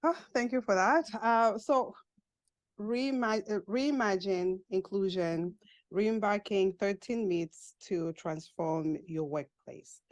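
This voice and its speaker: A woman's voice: medium pitch, takes it slowly, no room sound. A woman hosting a podcast interview who came as an immigrant, asking questions with light laughter and hesitant fillers. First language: not English, grew up in an English-speaking country abroad. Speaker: podcast host.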